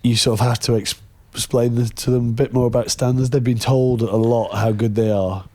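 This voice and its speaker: A man's voice: medium pitch, fairly even intonation, brisk, darkish tone. A man co-hosting a podcast, asking questions in casual chat and searching for his words. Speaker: podcast host.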